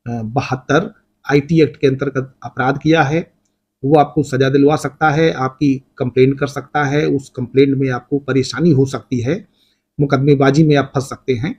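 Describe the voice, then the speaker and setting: A man with an Indian accent, a lawyer explaining legal points to viewers in a deliberate way, often rephrasing what he says. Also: medium pitch, fairly even intonation, a little mellow, slightly rough, lo-fi sound. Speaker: lawyer giving legal advice.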